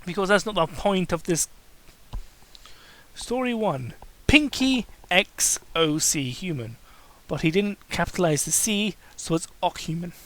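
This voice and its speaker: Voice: medium pitch, animated, unhurried, a bit bright. Someone giving a comedic dramatic reading of amateur fiction, mocking and exasperated, stumbling over the text and breaking off for sarcastic asides. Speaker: host reading fan fiction aloud.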